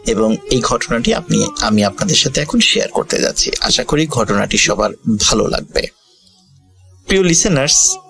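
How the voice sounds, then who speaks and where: A man tells a ghost story with faint music behind him. His voice is creaky and moves expressively up and down, while his wording stays measured and formal. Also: mid-range voice, a bit bright. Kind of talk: ghost story narration for listeners.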